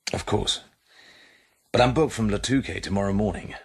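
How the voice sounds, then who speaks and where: A man's voice: lowish voice, normal speed, vocal fry, slightly rough, exaggerated voice. A man with a British accent acting in a radio drama as a cool, terse secret agent, clipped and understated. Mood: businesslike.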